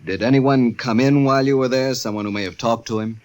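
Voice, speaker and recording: An actor playing a measured insurance investigator, questioning formally and deliberately in a radio suspense style. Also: male voice, medium pitch, steady tone, a little mellow, natural voice. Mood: polite, probing.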